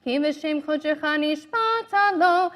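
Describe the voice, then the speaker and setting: A woman's voice: high-pitched, rapid-fire, fairly bright tone. A woman cantor singing blessings as liturgical chant in a synagogue. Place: synagogue sanctuary.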